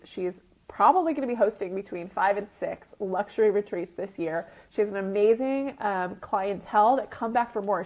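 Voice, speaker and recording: A woman's voice: mid-range voice, darkish tone. A woman with a slightly sniffly, runny-nosed sound gives an informal coaching talk, explaining things conversationally and often checking in with short tag questions. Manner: conversational teacher, often checking in with "right?" and "okay?". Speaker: retreat business coach and group host. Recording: dull lo-fi audio.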